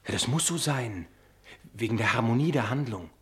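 Schauspieler als ernster Romantiker, mittlere Stimmlage. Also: male voice, medium pitch, animated, normal speed, close to an everyday voice. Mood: quiet, explaining. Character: earnest, dissatisfied romantic man longing for adventure. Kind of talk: 1960s German radio play acting.

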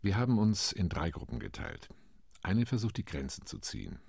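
An actor playing a calm, tactical delegate on a partition committee, speaking in a radio play monologue. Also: male voice, deep voice, normal speed, gravelly, husky, no room sound, full character voice. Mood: measured.

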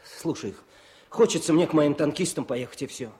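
An actor playing an eager, warm young army journalist in a Soviet radio drama, with music behind him. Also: male voice, fairly high pitch, moderate pace, natural voice. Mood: musing, stubborn.

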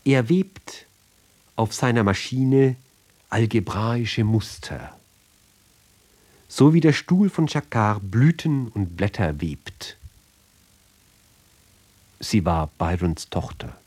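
A man giving a sober, measured literary reading for radio, phrase by phrase with deliberate pauses. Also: on the low side, big pitch swings, darkish tone, slightly rough. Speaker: reader of literary ballads.

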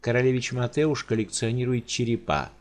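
A man voicing a spoiled, callous young noblewoman in an audiobook, speaking high, shrill and haughty. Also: brisk, a little mellow, natural voice. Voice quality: shrill and indignant.

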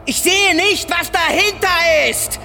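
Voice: extremely high pitch, slow pace, pushed and bright, soft background music, full character voice. An actor playing a horrified, anguished witness in a radio drama, with strained and emphatic delivery. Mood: anguished, desperate. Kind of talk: intense radio drama acting.

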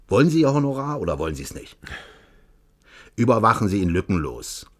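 An actor playing a secretive, commanding East German official in a radio drama, brusque and evasive as he gives instructions. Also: deep voice.

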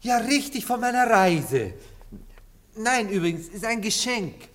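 Ein Schauspieler spielt in einem deutschen Hörspiel einen ausweichenden, gereizten Herrn und Liebhaber, theatralisch beschwichtigend. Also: male voice, high-pitched, animated, a little slow, fairly bright tone. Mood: evasive, dry. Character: evasive, irritable gentleman lover, a dithering bachelor. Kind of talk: German radio play stage-comedy acting.

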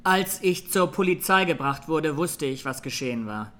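An actor playing a young Armenian defendant, speaking simply and earnestly, without remorse, in a radio courtroom drama. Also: male voice, on the high side, lively intonation, moderate pace, bright, forceful tone. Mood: calm, unrepentant. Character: young Armenian male defendant, sincere, quietly unrepentant.

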